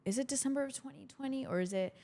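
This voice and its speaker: A woman's voice: high voice, quick pace, warm tone. A young adult woman from Chile co-hosting a podcast, telling personal stories in a casual, conversational way. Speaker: podcast co-host.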